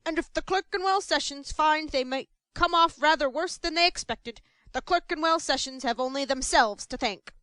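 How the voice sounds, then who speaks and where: A woman's voice: high-pitched, lively intonation, moderate pace, fairly bright tone, dry recording. A woman reading aloud, voicing a pompous, self-important middle-aged male parish beadle who talks sternly and with bluster.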